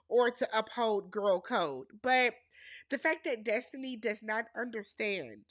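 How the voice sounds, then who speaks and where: Stuffy nasal wisconsin woman, bright tense voice, dry close sound, opinionated recap chat. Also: fairly high pitch, dry recording. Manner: opinionated, addresses viewers as y'all. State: congested from a cold. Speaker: reality TV recap host.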